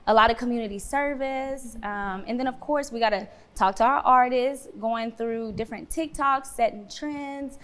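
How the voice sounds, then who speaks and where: Woman from dallas, dance team leader, upbeat slangy interview talk, high voice. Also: moderate pace, few pauses, a bit bright. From Dallas, Texas. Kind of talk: casual interview answers.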